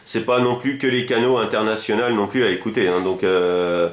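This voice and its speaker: A man's voice: fairly low pitch, fairly even intonation, normal speed, echoey room. A man chatting casually as a radio hobbyist, rambling and enthusiastic, often repeating himself. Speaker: amateur CB radio operator.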